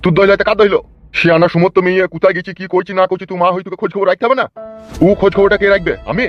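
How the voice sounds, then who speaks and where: A man's voice: sits high, fairly even intonation, racing, faint music behind, lightly acted. A man voicing an irate, foul-mouthed middle-aged village father in a comedy cartoon, scolding and blustering. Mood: ranting, threatening. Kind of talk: amateur comedy cartoon dub. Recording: dull, lo-fi dubbed sound.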